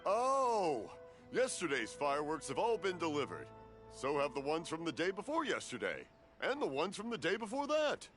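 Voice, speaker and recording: A man voicing a cheerful, befuddled, hard-of-hearing elderly father in a video game, halting in his talk, over music. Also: a little high, wildly swooping pitch. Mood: oblivious, jolly. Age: older. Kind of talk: English video game character acting. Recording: music playing behind the voice.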